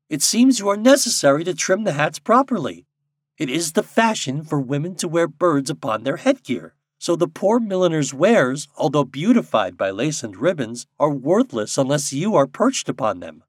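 Storybook fairy fellow played by a man: earnest, kind-hearted, stern, animated delivery with raised pitch. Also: moderate pace, hardly any pauses, no room sound, clean studio sound.

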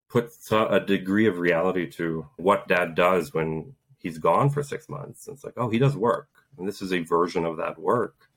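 Low-voiced man in his thirties, earnest guest storyteller, reflective, laughs now and then. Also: gravelly. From Poland. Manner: earnest, reflective, laughs while telling stories. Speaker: guest, Green Beret and author. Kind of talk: casual interview storytelling.

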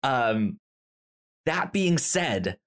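A man's voice: medium pitch, big pitch swings, drawn-out words, fairly bright tone. A man co-hosting a podcast, chatting casually about a TV show, often stammering and restarting words. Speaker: podcast co-host. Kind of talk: casual chat reviewing a TV show.

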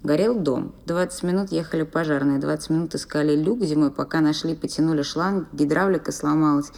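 A woman actor with a creaky voice narrating everyday events in an audio play. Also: low voice, fairly quick, darkish tone.

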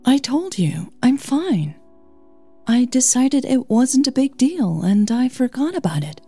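A woman's voice: very animated, a little slow, warm tone, husky, no echo, faint music behind, exaggerated voice. A woman voicing a nervous, defensive young opera singer in an audio drama, hesitant and uneasy. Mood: uneasy, guarded. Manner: hesitant, higher-pitched, uneasy.

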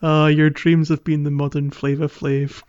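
A man's voice: fairly high pitch, little pitch movement, moderate pace, darkish tone, clean tone, dry recording, dull audio. A man co-hosting a podcast in casual, inquisitive, informal conversation. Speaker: podcast co-host. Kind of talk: casual chat, game playalong podcast.

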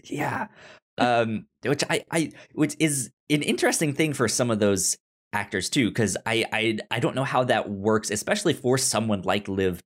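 Man, podcast host, average pitch, casual chat, frequent stammered repetitions. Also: sing-song, normal speed. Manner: stammering, repeats syllables and words often.

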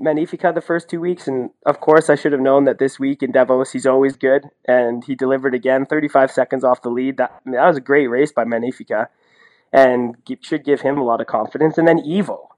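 A Canadian man giving casual, opinionated sports analysis in an animated, colloquial way, as a podcast co-host. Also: on the high side. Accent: Canadian English. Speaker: podcast co-host and ski commentator.

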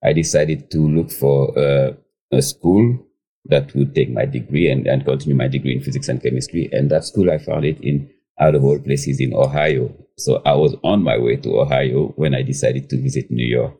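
A man in his fifties from Senegal, telling his life story as a chef on a podcast over a remote call, pausing often with fillers and restarts. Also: rumbling low, steady tone, dark tone, husky. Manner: hesitant, many fillers and restarts. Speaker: guest chef. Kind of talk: casual interview storytelling.

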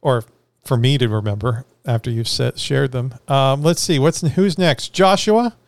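A man's voice: mid-range voice, a little slow, vocal fry, dry recording. A man hosting a casual podcast panel, joking and self-deprecating as he introduces his guests. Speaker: podcast host.

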